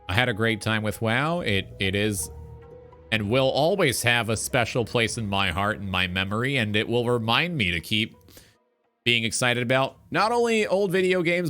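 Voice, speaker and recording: A man in his twenties hosting a talk show, speaking in an earnest, philosophical, halting monologue. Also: mid-range voice, sharp tone. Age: 20s. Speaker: talk show host, game streamer.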